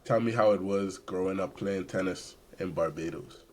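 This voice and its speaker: A man's voice: low-pitched, moderate pace, a little mellow, a little hiss or hum. A man from the Caribbean hosting a podcast, greeting casually and asking questions in a friendly, slangy way. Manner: friendly, laid-back slang. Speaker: podcast host. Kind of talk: casual interview chat.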